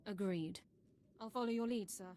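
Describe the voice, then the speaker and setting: A woman's voice: mid-range voice, normal speed, close to an everyday voice. A woman playing an earnest, deferential military subordinate in a video game, formal and dutiful. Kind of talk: video game cutscene acting. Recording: game soundtrack music behind.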